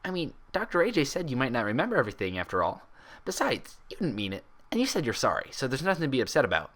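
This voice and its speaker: A man's voice: mid-range voice, huge pitch swings, fast talker, fairly bright tone, pitched above the performer's own voice, exaggerated voice. A man voicing a bubbly, childlike female cartoon pony who gushes with exaggerated cheer, in a story reading. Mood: forgiving, cheerful.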